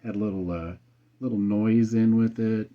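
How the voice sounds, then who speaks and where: A man's voice: lowish voice, fairly even intonation, moderate pace. A man with an American accent chatting in an easygoing, colloquial way as an amateur radio operator, speaking into a desk microphone. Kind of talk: casual radio chat. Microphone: USB desk condenser microphone. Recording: slightly dull desk microphone recording.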